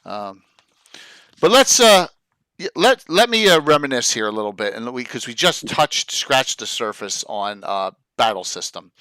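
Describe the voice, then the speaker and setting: A man, his voice bright and forceful with a smooth, crisp finish. He talks in an upbeat way, tossing in quick asides. The sound is dry and close. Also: medium pitch, big pitch swings, dry recording. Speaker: podcast host. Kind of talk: casual video-call chat. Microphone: video call.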